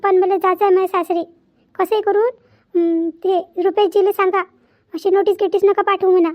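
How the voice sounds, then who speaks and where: A woman voicing a pleading, remorseful young married daughter in a cartoon family drama, halting and repetitive, with a Vidarbha Marathi accent. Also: very high-pitched, fairly even intonation, darkish tone.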